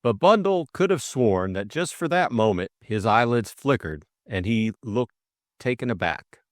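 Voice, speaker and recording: A man's voice: mid-range voice, animated, unhurried, no echo, clean studio sound. A man reading scripted narration of a classic mystery novel as an audiobook narrator.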